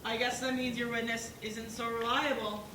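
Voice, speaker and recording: Earnest, insistent female pig juror stage actress, plain delivery, amateur play recorded with clear background noise. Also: on the high side, flat delivery, normal speed, reverberant, far from the mic, close to an everyday voice. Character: earnest, persistent pig juror arguing for fairness.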